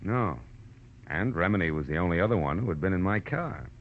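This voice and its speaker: An actor playing a tough, self-confident American private detective in old-time radio style, clipped and wisecracking. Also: male voice, low voice, expressive, fairly quick. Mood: explaining, relaxed. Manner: hard-boiled, clipped wisecracking. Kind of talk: 1940s-50s American radio detective acting and narration. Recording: muffled, noisy old-time radio recording.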